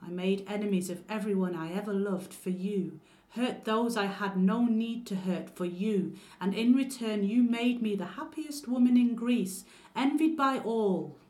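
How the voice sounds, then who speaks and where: A woman's voice: mid-range voice, unhurried, hardly any pauses, warm tone, close to an everyday voice. Woman playing a bitter, vengeful betrayed wife. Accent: Lancashire. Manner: bitter, sarcastic, declamatory. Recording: roomy echo.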